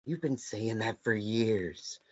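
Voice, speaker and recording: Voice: mid-range voice, slow pace, a little mellow, natural voice. A reader playing a teasing ex-boyfriend who won't leave in a table read of a stage play. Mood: needling. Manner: needling, persistent. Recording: dull lo-fi recording.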